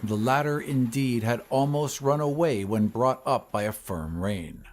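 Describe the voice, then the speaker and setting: A man reading a classic horror story as scripted narration, in a measured, literary storytelling manner. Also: medium pitch, a little mellow, a little hiss or hum. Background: ambient sound effects.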